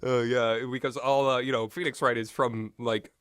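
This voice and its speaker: Bright-voiced man rambling through a casual review. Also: mid-range voice, level tone, moderate pace, smooth, dry recording, studio quality. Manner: rambling, often breaks into amused laughter. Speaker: video game reviewer.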